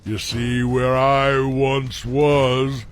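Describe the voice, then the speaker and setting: An actor voicing a haughty, menacing dragon who has seized a throne, speaking pompously for a cartoon. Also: male voice, medium pitch, level tone. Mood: smug, threatening. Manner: pompous, slow, grandiose. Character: mighty villainous dragon usurper king, haughty and menacing.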